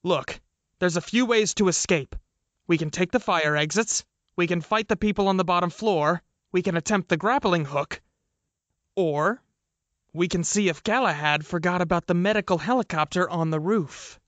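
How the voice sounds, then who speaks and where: A man's voice: high-pitched, normal speed, fairly bright tone, dry recording. A man playing a cautious, argumentative politician on the run, emphatic and questioning, in an audio drama. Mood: weighing options.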